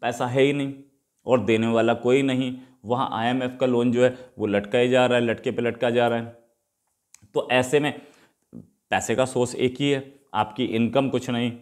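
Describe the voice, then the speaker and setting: A man's voice: mid-range voice, fairly even intonation, moderate pace. A man with an Indian accent giving unscripted, opinionated news commentary as a host, in an argumentative way full of rhetorical questions. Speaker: host commenting on current affairs.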